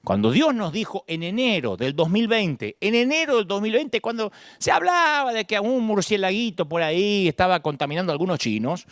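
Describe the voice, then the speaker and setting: A middle-aged man with a Buenos Aires Argentine accent, preaching a sermon as pastor, expressive and emphatic, swinging between high peaks and low asides. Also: moderate pace, hardly any pauses, dry recording, clean studio sound. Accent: Argentine Spanish, Buenos Aires.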